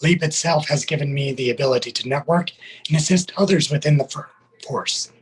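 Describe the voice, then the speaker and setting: A man's voice: fairly high pitch, brisk, husky, rough recording. A man speaking as a military sergeant and interview guest, giving prepared answers in a formal, careful, earnest manner.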